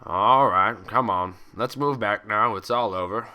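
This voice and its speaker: Man doing a firm, forceful orderly pony. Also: fairly low pitch, unhurried, clean tone. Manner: firm, herding. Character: brisk male orderly pony controlling a crowd.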